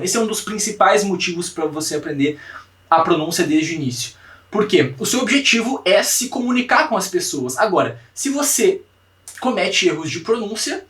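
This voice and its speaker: A young Brazilian man gives an online language lesson, his voice pitched a little high for a man. He repeats practice words slowly and keeps asking his students whether they follow. The sound is roomy and echoey, as if he stands some way from the mic. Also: fairly bright tone, recorded from a distance. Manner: drills pronunciation by repeating words slowly, checks in with "tá, gente?". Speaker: language teacher. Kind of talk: online class lecture.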